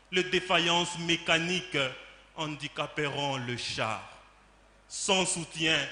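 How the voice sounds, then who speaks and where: Male spoken word poet, sharp bright voice, slow solemn declamation of religious verse, reverberant hall. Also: high voice. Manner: solemn, declamatory, deliberate with dramatic pauses. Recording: echoey reverberant hall, faint noise.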